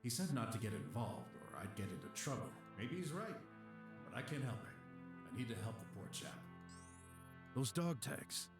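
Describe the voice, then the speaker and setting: A man plays a kind-hearted young detective in a video game. He is earnest and insistent, with a lively, swinging pitch. Music plays clearly behind him. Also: moderate pace. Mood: determined.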